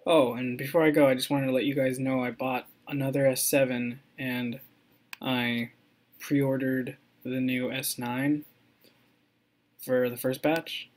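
A young man giving a casual, rambling screen-recorded update, excitable and full of ums and restarts. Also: medium pitch, a little slow. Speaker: crypto mining vlogger.

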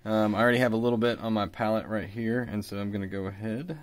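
Slightly raspy man explaining at the bench. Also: lowish voice, fast talker. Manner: relaxed, hesitant with fillers, explains while working. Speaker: miniature painting instructor. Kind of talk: casual painting tutorial. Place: hobby shop workbench indoors.

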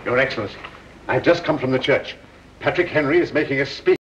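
Hurried military subordinate male actor, rapid report, faintly noisy dull 1930s film sound. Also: medium pitch, lightly acted. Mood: urgent.

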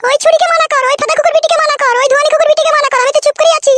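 Tiny-voiced, brash cartoon young wife. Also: female voice, steady tone, racing, noisy background. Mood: taunting. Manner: shrill, taunting, mocking retorts. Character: brash, combative young village second wife, defiant. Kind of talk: comic cartoon dialogue.

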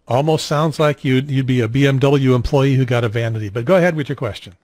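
An older man hosting an amateur radio net, explaining technical matters conversationally in a halting, methodical way. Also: mid-range voice, darkish tone, no echo. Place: small home radio room, indoors. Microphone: handheld radio mic held at mouth, boom mic nearby.

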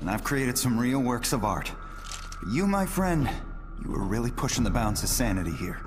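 An actor playing a wry, defiant weapons scientist in a game cutscene, sardonic and casual in manner. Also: male voice, mid-range voice, very animated, normal speed, music in the background, close to an everyday voice. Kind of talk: cinematic game cutscene acting.

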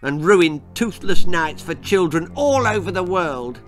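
A heartless, bossy young girl from a grisly children's storybook, acted out by a man. The delivery is threatening and deliberate, with the pitch raised a little. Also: expressive, soft background music.

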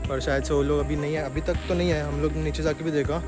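A man's voice: a little high, flat delivery, fast. Chatty young man. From Maharashtra, India. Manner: chatty, addresses viewers directly while walking a trail. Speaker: travel vlogger. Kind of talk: casual vlog narration to camera. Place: outdoor forest trail near a waterfall. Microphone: phone camera held while walking. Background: background music.

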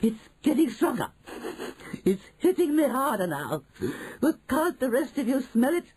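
Shrill, shrieking excitable witch. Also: takes it slowly, vocal fry, slightly rough, full character voice. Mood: urgent. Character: excitable shrieking tall witch sniffing out a stench.

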